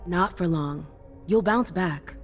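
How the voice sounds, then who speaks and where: An actress playing a wry, sceptical vampire ally in a game cutscene, speaking in a dry, casual manner. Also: female voice, fairly low pitch, slow, faint music behind. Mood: composed, supportive. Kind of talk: dramatic video game cutscene acting.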